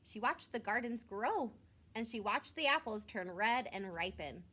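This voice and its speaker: A woman reads a children's picture book aloud in the style of a schoolteacher. Her pitch is lively and moves up and down a great deal. She is expressive and pauses for simple asides aimed at young listeners. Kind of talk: storybook read-aloud with short asides.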